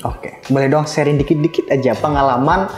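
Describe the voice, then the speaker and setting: A man hosts a casual interview. His voice sits a little high. The room gives it clear echo, and light music plays behind him. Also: fairly even intonation, brisk. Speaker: podcast host.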